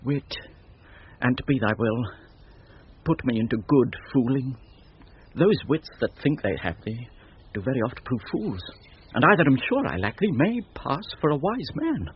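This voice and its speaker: An actor playing a quick-witted, teasing court fool in 1970s radio Shakespeare, with theatrical, riddling delivery. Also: male voice, mid-range voice, sing-song, slightly rough. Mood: impudent wit. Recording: dull vintage radio recording.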